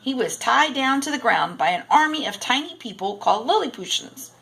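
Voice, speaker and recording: A woman reading a children's storybook aloud in expressive, deliberate narration. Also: fairly high pitch, gravelly. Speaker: storybook reader.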